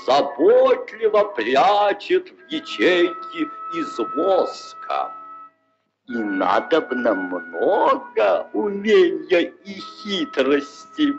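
Bear teacher, 1940s cartoon: pompous, declamatory, wildly varied pitch, music behind. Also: male voice, heavily acted. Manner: theatrical, declamatory, deliberate. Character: pompous adult male bear schoolteacher. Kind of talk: rhymed 1940s cartoon acting. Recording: dull old film soundtrack with music.

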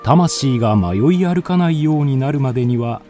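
A man's voice: mid-range voice, animated, normal speed, mellow, soft background music. A man narrating a scripted ghost story in a polite storytelling manner.

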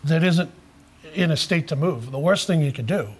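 Middle-aged male shop vlog host, slightly high voice, chatty and informal, prone to repeating himself. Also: normal speed. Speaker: vlog host, car enthusiast. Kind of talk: casual on-camera conversation. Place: indoor auto rebuilding workshop.

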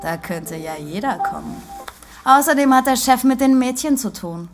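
A woman's voice: sits high, expressive, moderate pace, over music. A woman acting in a German audio drama as a brazen brothel receptionist, saucy, mocking and bossy.

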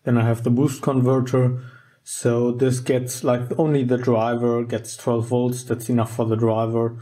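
A man giving a casual, unscripted electronics demonstration, halting and informal as he thinks aloud. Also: medium pitch, level tone, warm tone. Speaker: host of a DIY electronics channel. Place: indoors at a wooden workbench.

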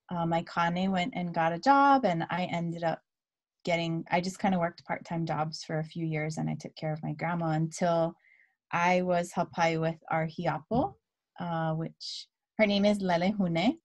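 Woman from hawaii telling her story on a zoom call, kinda low voice, lots of ums, hawaiian words mixed in. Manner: relaxed, many fillers, mixes in Hawaiian words. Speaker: guest speaker. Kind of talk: casual personal story on a video call. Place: dim room at home. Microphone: laptop webcam video call.

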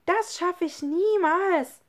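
Woman voicing an excitable girl, very high-pitched. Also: slow. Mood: doubtful. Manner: crying out, exclaiming. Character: excitable young girl, shrill and eager. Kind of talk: children's storybook read aloud.

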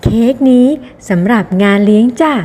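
A woman's voice: medium pitch, moderate pace, mellow, voice pushed down, lightly acted. A woman voicing a friendly, helpful small underground animal in a children's storybook, explaining things simply, with reverb on the recording. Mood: kindly. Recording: long reverberant hall echo.

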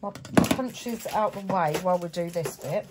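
A woman's voice: lowish voice, a little slow, heavy background noise. Chatty woman, stuffy from a cold. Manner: chatty, self-correcting step-by-step explaining. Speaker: craft channel host. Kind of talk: relaxed craft tutorial narration. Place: home craft desk, indoors.

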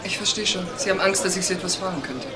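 A woman's voice: fairly low pitch, racing, echoey room, a loud crowd, lightly acted. A woman playing a suspicious, hurt wife and boss in a crime drama, controlled and bitter. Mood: probing. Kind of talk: dramatic dialogue acting.

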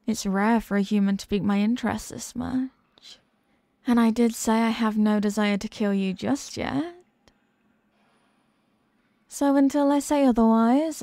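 A woman's voice: on the high side, mellow, no echo. A woman voicing a condescending, sadistic and possessive demon queen who mocks the human listener in a scripted ASMR roleplay. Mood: smug, possessive. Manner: condescending, mocking, deliberate and teasing.

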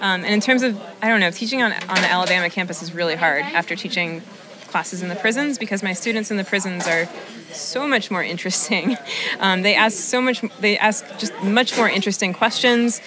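A woman's voice: medium pitch, a little fast, music in the background. A young woman, a teacher, talking casually in an interview with searching phrasing and academic vocabulary. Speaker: philosophy teacher being interviewed.

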